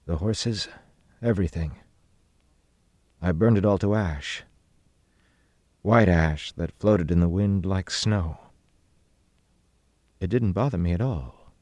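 A hardened, guarded soldier voiced by a male narrator, flat and clipped, hiding pain. The voice is quiet and sits a little above the reader's very low register, in a dry recording. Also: slow pace, pauses often, dry recording. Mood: flat, bleak.

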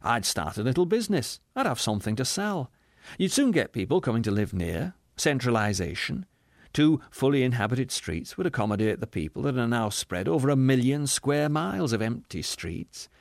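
A man's voice: sing-song, normal speed, creaky, dry recording, studio quality. Man voicing a furtive, muttering little schemer. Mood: confiding, scheming. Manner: low, muttering, confiding. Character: shrewd, furtive male schemer, conspiratorial and anxious.

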